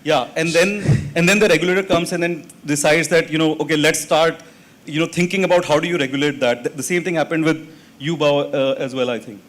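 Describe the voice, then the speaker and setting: Higher-voiced man, hesitant on a panel. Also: steady tone, a little fast, a bit bright. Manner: hesitant, filler-heavy. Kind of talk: panel discussion.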